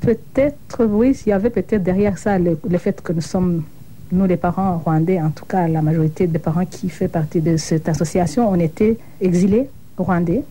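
Middle-aged woman, very dark mellow voice. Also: on the low side, moderate pace, slight background noise, poor audio. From Burundi. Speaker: interview guest, association co-founder. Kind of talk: reflective broadcast interview.

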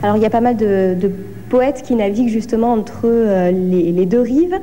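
A woman interviewer asking measured, formal questions. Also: medium pitch, mellow, big-room sound.

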